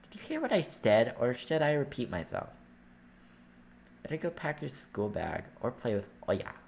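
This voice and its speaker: A man's voice: mid-range voice, very animated, normal speed, vocal fry, a little mellow, slight background noise, voice pushed up. A man voicing a stern, scolding parent from a visual novel game he is reading aloud. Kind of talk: game character read-aloud.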